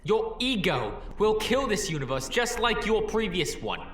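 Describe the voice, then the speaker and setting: A man's voice: high voice, sing-song, unhurried, fairly bright tone, reverberant, full character voice. A man voicing a domineering rival doctor inside someone's head, accusing and menacing, in an audio drama. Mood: scornful, accusing. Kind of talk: dramatic scripted fan audio acting.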